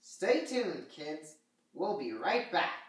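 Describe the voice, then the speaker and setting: A woman's voice: deep voice, big pitch swings, exaggerated voice. A woman as a patient sock puppet teacher, echoey. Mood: presenting. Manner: explanatory, measured. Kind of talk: children's puppet show teaching. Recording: very echoey room, slightly distant.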